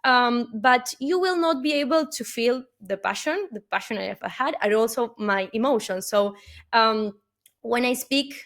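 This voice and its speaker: A woman's voice: on the high side, expressive, unhurried, a bit bright. A woman from Argentina, a native Spanish speaker talking in English with an Argentine accent, giving an earnest webinar keynote full of "uh" fillers and questioning "no?" tags.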